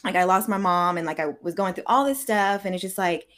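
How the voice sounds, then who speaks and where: A woman's voice: on the low side, a little fast, a bit bright. A woman hosting a casual interview, chatting informally in an affirming, empathetic way. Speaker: interviewer, show host. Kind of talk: casual chat.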